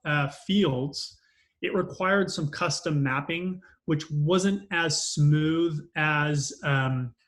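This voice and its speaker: Male, slightly high voice, unscripted customer testimonial from a manager, halting delivery with frequent pauses. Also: fairly bright tone. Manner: hesitant, pausing often mid-sentence. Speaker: customer success operations manager giving a testimonial.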